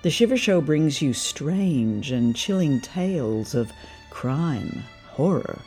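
A man's voice: medium pitch, mellow. A man hosting a radio drama show, reading a scripted introduction. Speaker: show host.

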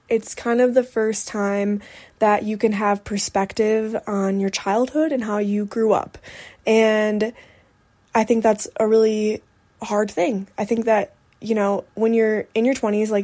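A woman's voice: mid-range voice, warm tone. A woman in her twenties hosting a solo podcast, talking casually in a candid, reflective way with lots of "like" as filler. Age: 20s. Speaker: podcast host. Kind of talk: casual solo podcast talk, personal update.

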